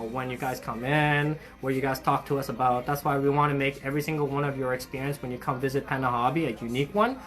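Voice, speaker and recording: Young adult hobby shop host, bright clear tone, chatty and effusive, faint music underneath. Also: mid-range voice. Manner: chatty and upbeat, with frequent fillers and restarts; friendly and effusive toward viewers. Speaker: hobby shop staff hosting a livestream. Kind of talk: casual livestream chat, greeting viewers and reading their comments.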